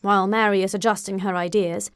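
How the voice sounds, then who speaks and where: A woman voicing a dry, teasing middle-aged father in an audiobook, measured and mock-solemn. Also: medium pitch, little pitch movement, smooth, no room sound, natural voice. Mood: wry, sly. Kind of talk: audiobook character acting.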